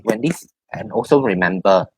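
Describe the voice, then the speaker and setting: A deep-voiced man speaks as a tarot reader, offering guidance in a one-on-one session. He explains haltingly, circling back over his phrases, and the recording is dry and close. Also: expressive, slightly rough, no room sound, low-quality recording. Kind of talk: spiritual advice in a one-on-one reading.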